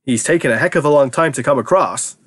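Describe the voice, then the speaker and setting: A reader voicing an irritable, exasperated father in a children's story, blunt and sharp. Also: medium pitch, quick pace, slightly rough. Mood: sardonic, sharp.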